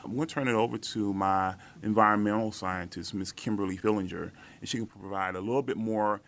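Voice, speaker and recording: A man speaking as an army commander in an interview, giving formal, measured explanations in official wording. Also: on the low side, fairly even intonation, a bit bright.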